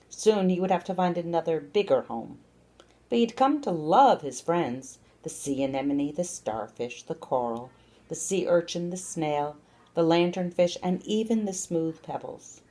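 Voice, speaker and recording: Expressive woman storyteller, very animated pitch. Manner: expressive, deliberate phrasing. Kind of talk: children's story read-aloud.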